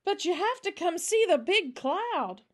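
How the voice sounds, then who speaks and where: Eager young girl for a storybook, high-pitched, earnest, bouncy and full of questions. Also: sing-song, moderate pace. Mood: excited. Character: eager, earnest, curious little girl, servant's daughter.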